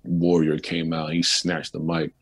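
A man, a musician guest, telling stories in a loose, profane, animated way on a casual talk show. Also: rumbling low, measured pace, slightly rough. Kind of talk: casual storytelling interview.

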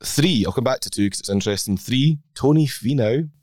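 A man with a slightly croaky voice, co-hosting a golf chat and reeling off stats in a measured way. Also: medium pitch, lively intonation, a little mellow, no room sound, clean studio sound. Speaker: podcast co-host.

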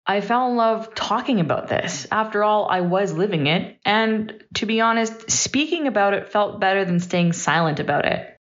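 A woman's voice: mid-range voice, animated, moderate pace, hardly any pauses. A woman in her thirties giving a personal, reflective monologue as a podcast host, candid and emphatic, in short punchy sentences. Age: 30s. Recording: dull, slightly lo-fi voice recording.

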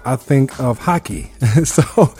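A man's voice: medium pitch, animated, slow, warm tone, faint music behind. A man from Brooklyn with an American accent, hosting a conversational interview in an encouraging, complimentary way. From Brooklyn, New York. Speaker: show host interviewing a guest.

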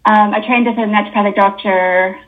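A woman's voice: medium pitch, fairly even intonation, a little fast, phone-quality sound. A woman in her fifties with a Canadian accent, a guest naturopathic doctor chatting casually in an interview, often correcting herself mid-sentence. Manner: chatty, with self-corrections and soft laughs.

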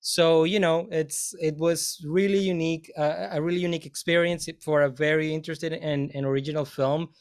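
A man speaks as a film composer on a discussion panel. His voice is smooth and resonant, pitched a little high for a man, and he meanders through his answers with lots of fillers and restarts. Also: steady tone, fairly quick, hardly any pauses. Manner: rambling, many fillers and restarts. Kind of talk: casual panel talk.

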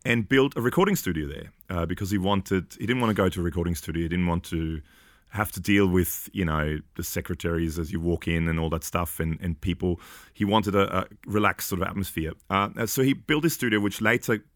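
Man in his forties, from Austria, very low voice, halting and chatty, dry close sound. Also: dry recording. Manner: hesitant, many fillers and restarts, laughs often. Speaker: podcast guest. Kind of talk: casual chat.

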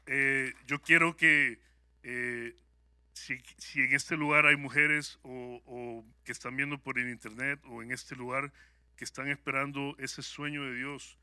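A man with a Salvadoran Spanish accent speaks to a congregation as a guest speaker. His voice is very bright and sharp, and it sounds close and dry. He talks in an upbeat, conversational way and often pauses on hesitant fillers. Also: mid-range voice, no echo. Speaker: guest speaker at a church service. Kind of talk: personal testimony to a congregation.

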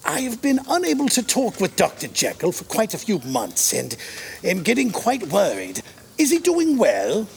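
An actor in an audio drama playing a courteous, anxious old gentleman, creaky, polite and hesitant. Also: high-pitched, huge pitch swings, moderate pace, slight background noise, heavily acted. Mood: worried.

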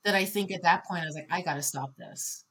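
A woman in her thirties speaking as a podcast guest in casual conversation, expressive and shifting between soft reflection and loud laughing energy. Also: lowish voice, quick pace, a little hiss or hum. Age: 30s.

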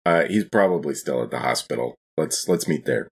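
A man's voice: extremely low pitch, expressive, fairly quick, slightly rough. A man playing a tabletop role-playing game, casually narrating his character's actions.

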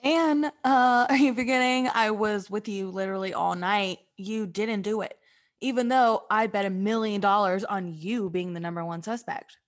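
A woman's voice: mid-range voice, normal speed, natural voice. An actress playing an exasperated, blunt young woman in a comedic radio drama, sardonic and bossy. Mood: sarcastic disbelief. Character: exasperated, blunt, sarcastic young female companion.

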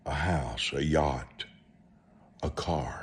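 An American man preaching a motivational sermon and telling stories in a deliberate, emphatic way, repeating short phrases. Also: very deep voice.

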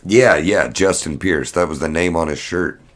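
A man's voice: low voice, moderate pace, close to an everyday voice. A man voicing a blunt, prickly male podcast co-host who interjects emphatically. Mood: eager.

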